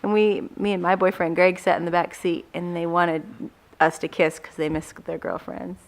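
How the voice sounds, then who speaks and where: A woman's voice: fairly low pitch, level tone, normal speed. A woman telling a story casually in an interview, informal and teasing.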